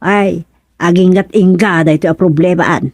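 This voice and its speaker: A woman's voice: on the low side, fairly even intonation, normal speed, a little mellow, no room sound, natural voice. A woman with an Ilocano accent acting a gossipy, insistent villager in a radio comedy-drama.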